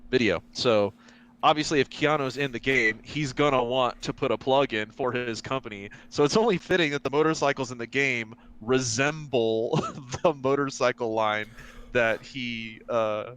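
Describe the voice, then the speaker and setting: A man with a smooth, resonant voice co-hosts a casual podcast. He explains things in a loose, hesitant way, with plenty of fillers as he goes. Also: medium pitch, moderate pace, fairly bright tone. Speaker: podcast co-host. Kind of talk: casual chat.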